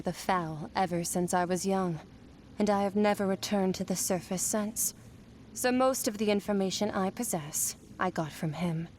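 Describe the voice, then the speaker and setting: An actress playing a calm young woman, the mysterious disciple of a hidden master, speaking in a gentle, unhurried way. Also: medium pitch, slight background noise, natural voice. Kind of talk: game dialogue.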